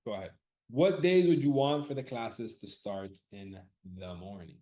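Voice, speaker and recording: A man's voice: mid-range voice, sing-song, moderate pace, warm tone. A man running a live audience poll about class times, casually thinking aloud, loose and profane, often repeating himself.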